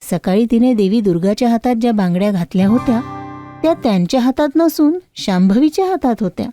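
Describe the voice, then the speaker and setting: High-voiced woman storyteller. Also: lively intonation, fairly quick, mellow, soft background music. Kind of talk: scripted moral story narration.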